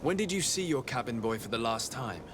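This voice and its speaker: An actor as a courteous young nobleman, mid-pitched. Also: lively intonation, fairly quick, background noise, close to an everyday voice. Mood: inquiring. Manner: polite, formal, composed. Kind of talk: video game dialogue acting.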